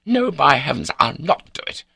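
A man's voice: lowish voice, sing-song, fairly quick, gravelly, sharp tone, breathy edge, no echo, studio quality, pitched above the performer's own voice, exaggerated voice. A man voicing an arrogant, indignant upper-class baronet in an audiobook, with a blustering, sneering and haughty manner. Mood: haughty, vindictive outrage. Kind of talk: audiobook character dialogue.

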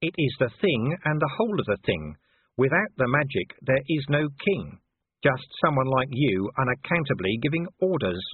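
A man playing a shrewd, sardonic city commander in an audiobook, smooth and needling in manner. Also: medium pitch, big pitch swings, normal speed, dry recording, narrow, tinny audio, voice pushed up. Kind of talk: audiobook character voice.

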